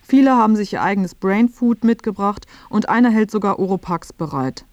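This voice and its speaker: A woman's voice: mid-range voice, expressive, brisk, darkish tone, no room sound, lo-fi sound. A woman reading scripted narration for a radio feature.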